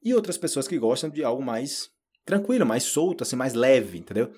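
A Brazilian man with a Brazilian Portuguese accent, giving a relaxed, chatty podcast lesson and addressing learners directly. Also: mid-range voice, fairly quick, husky. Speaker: Portuguese teacher. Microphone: solo audio recording.

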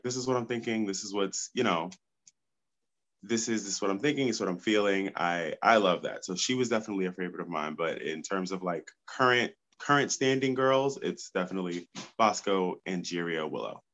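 A man's voice: on the low side, moderate pace, a bit bright. A congested man, a podcast guest, talking enthusiastically and outspokenly. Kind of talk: casual podcast chat.

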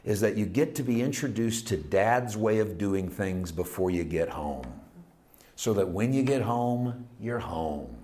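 A man preaching a sermon, building with repeated phrases and dropping to hushed, intimate passages. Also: on the low side, animated, a little slow. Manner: emphatic, repetitive preaching cadence, swings from loud to hushed. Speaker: pastor.

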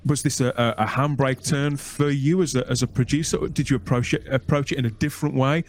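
A man's voice: mid-range voice, steady tone, brisk, slight background noise. Man hosting a podcast, winding questions. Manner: long, winding questions with restarts. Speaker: podcast host. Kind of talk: casual podcast interview.

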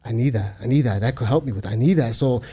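A man's voice: mid-range voice, fast talker, warm tone, breathy edge. A man from Chicago, a guest talking casually in a streetwise way, swearing heavily and using lots of slang. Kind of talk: casual interview talk.

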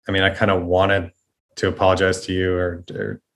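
A man's voice: low voice, monotone, fairly quick, creaky, a bit bright. A man appearing as a podcast guest, talking hesitantly and apologetically in casual conversation, often repeating his words.

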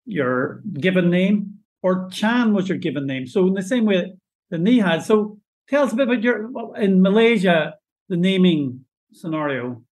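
A man hosts an interview and asks long, winding questions, often stopping to rephrase. His voice sits a touch higher than most men's. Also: expressive, normal speed. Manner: rambling, self-correcting questions. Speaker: interview host. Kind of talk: hosting a panel interview.

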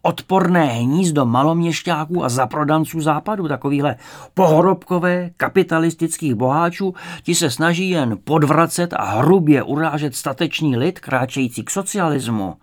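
A man playing a fanatical, bullying secret police interrogator in a story, haranguing in loud slogans. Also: mid-range voice, animated, natural voice. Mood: contemptuous rant.

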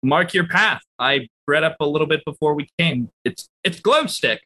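A man playing a tabletop roleplaying game, casually narrating his character's actions in a halting, self-correcting way. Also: a little high, animated, pushed and bright.

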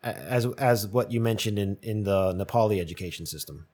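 A man hosting an interview, asking casual questions with halting restarts. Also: fairly low pitch, a little mellow. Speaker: interviewer, host.

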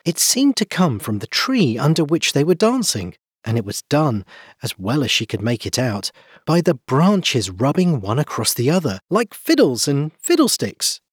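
Male storybook reader, slightly rough tone, animated pitch, dry recording. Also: no room sound. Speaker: volunteer audiobook reader. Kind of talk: expressive storybook narration.

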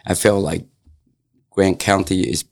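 A man in his sixties from Vietnam, speaking English with a Vietnamese accent in a casual interview, halting and full of fillers and restarts. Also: deep voice, steady tone, gravelly. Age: 60s. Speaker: interviewee, local business owner. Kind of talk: casual oral-history interview.

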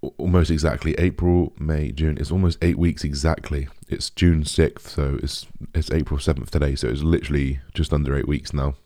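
British man, extremely low pitch, dark raspy tone, rambling informal podcast host, casual chat. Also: fairly even intonation, normal speed, few pauses, no room sound, studio quality. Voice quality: deep, raspy.

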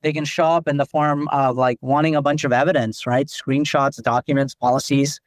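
A man from Nepal speaks as a guest on a business podcast, walking through his ideas with frequent "like" fillers. His voice sits a bit high. The sound is dry and close, with no room echo. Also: flat delivery, moderate pace. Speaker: company founder and CEO, guest.

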